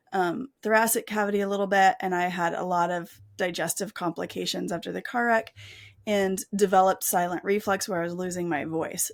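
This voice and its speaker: A woman's voice: on the low side, no room sound, studio quality. A woman speaking as a guest on a casual podcast, earnest and often restarting her sentences. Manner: earnest, with frequent restarts and self-corrections.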